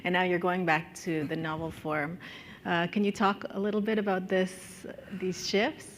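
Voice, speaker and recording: A woman moderating a writers' panel, measured as she poses questions. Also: lowish voice, level tone, a little hiss or hum. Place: conference stage.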